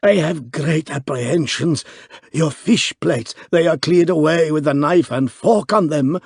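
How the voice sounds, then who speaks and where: A man's voice: fairly high pitch, a little slow, vocal fry, breathy edge, dry recording, studio quality, voice pushed up, exaggerated voice. A man voicing a panicky foreign hotel proprietor who speaks broken English and gasps for breath.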